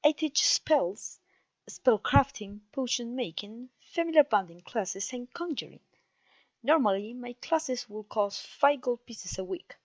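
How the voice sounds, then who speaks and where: A woman's voice: fairly high pitch, no echo, muffled recording. A woman with a Mexican accent playing a clumsy, cheerful witch teacher in an ASMR roleplay, theatrical and genteel in her encouragement. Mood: welcoming, instructive. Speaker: voice actor.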